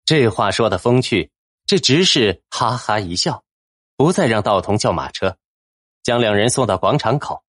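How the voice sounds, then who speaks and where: A man's voice: lowish voice, animated, moderate pace, fairly bright tone, no room sound, studio quality. A man narrating a fantasy novel in a dramatic, scripted storytelling style. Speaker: audiobook narrator.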